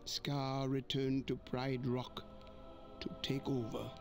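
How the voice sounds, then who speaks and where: A man narrating a storybook read-along in an unhurried, expressive way. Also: mid-range voice, gravelly, over music.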